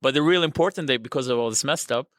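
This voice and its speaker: A man's voice: mid-range voice, rapid-fire, fairly bright tone, no echo, clean studio sound. A man from Jerusalem, a native Armenian speaker, chatting animatedly as a podcast guest and joking and laughing often. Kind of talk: casual interview conversation.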